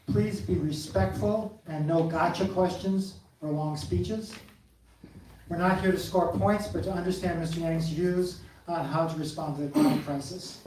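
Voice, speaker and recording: A forum moderator giving polite, informal instructions to an audience, halting and searching for words. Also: medium pitch. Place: large echoey room at a public forum. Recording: echoey hall, distant mic, clear noise.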